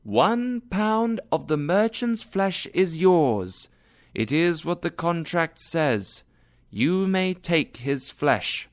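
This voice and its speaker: A man voicing a young woman disguised as a wise judge, speaking in a raised, slow, emphatic voice. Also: on the high side, big pitch swings, voice pushed up. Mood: stern, commanding.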